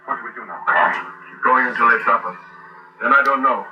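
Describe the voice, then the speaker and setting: A man's voice: medium pitch, a little fast, lightly acted. An actor playing a dry, bluffing male adventurer in a radio drama. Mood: wry, improvising. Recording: dull lo-fi radio playback, loud music.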